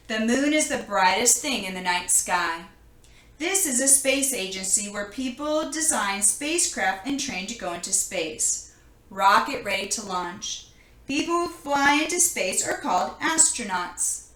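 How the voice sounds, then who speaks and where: A woman reading a children's picture book aloud in an expressive manner, recorded in an echoing room. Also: on the high side. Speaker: storybook reader. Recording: roomy, echoing indoor sound.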